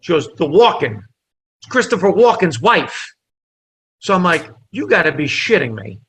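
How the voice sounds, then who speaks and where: A man with a bright, forward voice, pitched a little above the usual for a man. He spins a lively tale and acts out each person in it. Italian was his first language. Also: normal speed, a little hiss or hum. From New Jersey. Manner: animated storyteller, acts out dialogue. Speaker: comedian guest. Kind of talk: casual storytelling interview.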